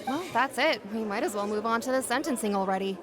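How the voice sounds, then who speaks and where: A woman playing a skeptical female courtroom spectator, quick to judge, in a video game. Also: fairly high pitch, expressive, natural voice. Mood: dismissive. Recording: music and crowd behind.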